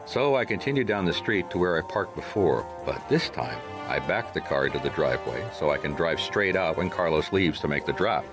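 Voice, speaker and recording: An actor playing a weary, wry private detective in a hardboiled radio drama, laconic and understated, both narrating and in dialogue. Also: male voice, deep voice, lively intonation, a little fast, few pauses, music in the background. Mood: wry, watchful.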